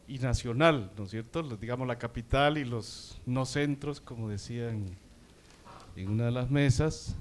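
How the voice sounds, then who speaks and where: Measured Costa Rican man, academic. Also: medium pitch, a little mellow. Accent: Costa Rican Spanish. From Costa Rica. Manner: measured, academic, with fillers like digamos. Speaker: symposium participant asking a question. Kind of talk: academic comment from the floor.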